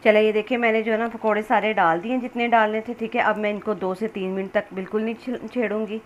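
A woman's voice: mid-range voice, steady tone, fast talker, highs cut off. A woman giving a casual, step-by-step recipe narration as a home cook, in a conversational, instructional manner. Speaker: home cook hosting a cooking channel. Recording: clear background noise, kitchen ambience.

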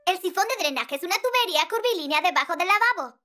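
A woman's voice: very high voice, expressive, quick pace, very noisy. A woman voicing a tiny, confident, sassy little girl sprite in a dubbed children's cartoon, explaining things.

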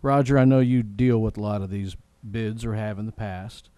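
A man chairs a formal public meeting. His voice is rather low and relaxed, with a mellow tone. The recording is dry. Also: normal speed, clear, resonant tone, no room sound, lo-fi sound. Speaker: presiding chair of a city council meeting.

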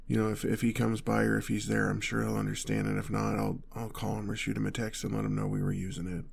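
A male actor performs a protective boyfriend on the run in an intimate audio roleplay. His voice is husky and creaky at a middling pitch, with little melodic movement. He stammers under pressure, urgent yet tender toward his partner. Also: monotone, quick pace, exaggerated voice. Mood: calming, weary.

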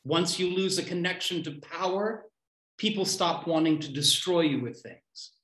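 Echoey middle-aged man reading poetry, higher-pitched voice. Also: a bit bright. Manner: declaiming short unconnected lines with deliberate pauses. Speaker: poet reading own poems. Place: small room at home. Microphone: computer mic on a video call. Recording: roomy, echoey home video-call sound.

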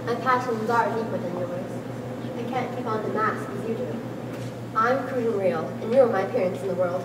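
A woman's voice: mid-range voice, fairly quick, natural voice. A young woman in an amateur stage play, playing a wife's raw, passionate, bitter inner self with emphatic, agitated delivery. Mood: intense, earnest. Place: large school hall with small audience. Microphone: far camera. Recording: echoey hall, distant camera, loud room noise.

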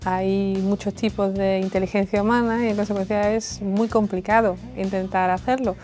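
A woman, explaining technology clearly in an interview. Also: mid-range voice, little pitch movement, normal speed, warm tone, soft background music. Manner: articulate, explanatory. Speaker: tech expert. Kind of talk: interview answers.

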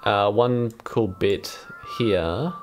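A man's voice: fairly low pitch, very slow. A young adult man casually talking through a music patch demonstration in a laid-back, informal way. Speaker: vlog host, electronic musician. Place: small room indoors at a computer. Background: synthesizer music.

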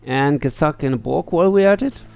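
A man's voice: a little high, brisk, creaky, darkish tone, clean tone. A man voicing a cheeky, breezy dog-like rogue from a fantasy game, with a chummy, slangy manner. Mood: insistent.

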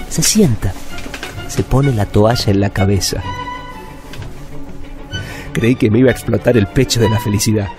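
A man's voice: mid-range voice, natural voice. An actor playing a wistful forty-year-old bookshop owner with a Rioplatense Argentine accent, narrating his routine life in a reflective radio drama. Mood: excited, elated. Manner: reflective, storytelling, self-correcting. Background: music bed.

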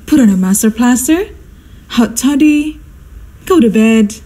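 A woman's voice: fairly high pitch, lively intonation, unhurried, mellow. A woman playing an imperious, easily outraged society hostess and mother in a radio drama, exclaiming and scolding. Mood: flustered, sympathetic.

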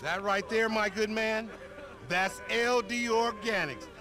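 An actor playing a brash, boastful young American street hustler in a game cutscene, loud, swaggering and slangy. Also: male voice, high voice, steady tone, slow pace. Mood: proud, excited. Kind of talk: video game cutscene acting.

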